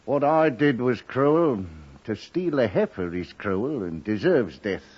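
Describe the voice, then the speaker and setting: Resigned, husky condemned cattle thief. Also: male voice, medium pitch, animated, slow pace, a little mellow. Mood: gloomy resignation. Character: doomed male cattle thief, resigned, simple, remorseful drinker. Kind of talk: 1950s radio drama acting. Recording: muffled vintage 1950s radio recording.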